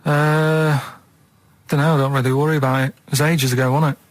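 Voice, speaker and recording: A man bantering casually on the radio in a stubborn, deadpan, matter-of-fact way. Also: fairly high pitch, fairly quick, slight background noise.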